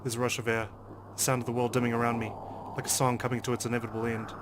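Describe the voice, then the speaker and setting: A man's voice: medium pitch, level tone, very fast, background noise. A man hosting a let's play, reading game text aloud dramatically and swearing as he reacts.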